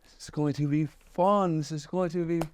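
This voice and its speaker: A man hosting a podcast in an excitable, rambling way, full of restarts and fillers. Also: fairly high pitch, moderate pace, slight background noise. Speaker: podcast host. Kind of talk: casual chat.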